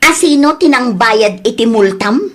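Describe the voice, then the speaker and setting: High-pitched nagging wife played by a woman. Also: animated, moderate pace, fairly bright tone. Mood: scolding. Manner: nagging, questioning. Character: scolding, exasperated woman, a wife and daughter. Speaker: drama actor. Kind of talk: Ilocano audio drama acting.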